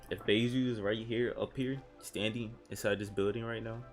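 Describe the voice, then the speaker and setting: A man's voice: on the low side, fairly even intonation, moderate pace, faint music behind. A man giving casual, slangy, offhand commentary while playing a video game. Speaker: gamer reacting to game story.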